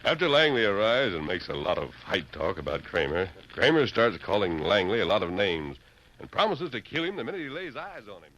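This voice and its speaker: Very deep, measured western hero. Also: male voice, big pitch swings, fairly bright tone. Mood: calm, amused. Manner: measured, articulate, storytelling. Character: calm, wryly amused western hero telling a tale. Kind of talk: old-time radio western acting. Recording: dull, noisy old radio recording.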